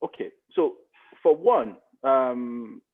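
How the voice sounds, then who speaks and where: A man's voice: mid-range voice, expressive, slow pace. Nigerian man explaining, slightly phone-like radio sound. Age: middle-aged. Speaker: guest, broadcast media expert. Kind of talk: podcast interview, explaining an opinion.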